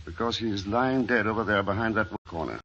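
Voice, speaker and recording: An actor playing a cool, terse, deadpan investigator in an old-time radio drama, on a muffled recording. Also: male voice, lowish voice, fairly quick, very noisy, natural voice. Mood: cool, dry. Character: cool, unflappable male investigator hero. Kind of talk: 1940s-50s radio drama acting.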